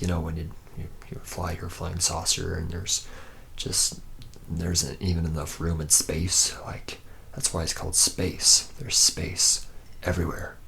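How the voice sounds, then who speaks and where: A man's voice: low voice, creaky, fairly bright tone, slight background noise, full character voice. A man playing a charismatic, hard-drinking senior robot mechanic in an ASMR roleplay, rambling in a loose, laid-back way.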